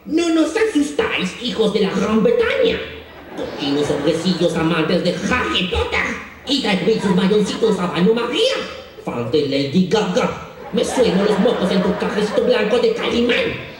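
A man's voice: sits high, sing-song, far from the mic, crowd noise. A young man playing a mocking castle guard who puts on a fake French accent on a school stage. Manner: taunting, drawn-out insults. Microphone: far camera in the audience. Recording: echoey theater hall with music.

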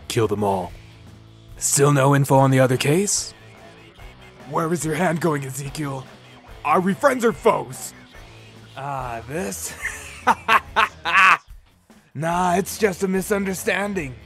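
A man voicing a brash, menacing biker gang leader, cocky and theatrical, with a raspy voice. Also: sits high, a bit bright, heavily acted. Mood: sly. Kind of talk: character voice demo acting.

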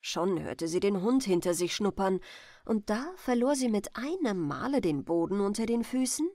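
A woman reading a bedtime fairy tale as an expressive, gentle audiobook narrator. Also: medium pitch, normal speed, no echo. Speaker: storyteller, audiobook reader.

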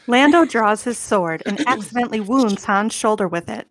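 A woman narrating the stage directions of a comic table read in a straight-faced, clearly enunciated way. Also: on the high side, animated, normal speed.

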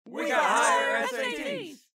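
A taunting high school cheer section acted out by a man for an audiobook. The chants are shouted and stretched out. The pitch is extremely high and leaps about, with a sharp, bright edge. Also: wildly swooping pitch, drawn-out words, a faint crowd, full character voice. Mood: gleeful taunting.

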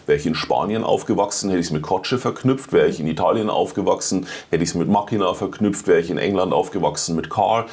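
A man's voice: low voice, quick pace, hardly any pauses, darkish tone, breathy edge. A middle-aged man, a neuroscientist guest, explaining at length in a studio interview, often adding tag questions like "ne?". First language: German. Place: small TV studio set.

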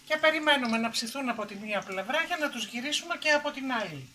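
Calm woman narrating a home cooking demo. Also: high voice, a little hiss or hum. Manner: calm step-by-step narration, listing ingredients. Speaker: cooking show host. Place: home kitchen.

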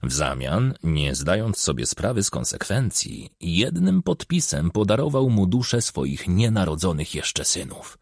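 A man narrates an audiobook crime story. His voice is low and husky, with pitch that moves freely through measured, expressive phrases. The sound is close and dry. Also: dry recording. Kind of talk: scripted narration of a crime novel.

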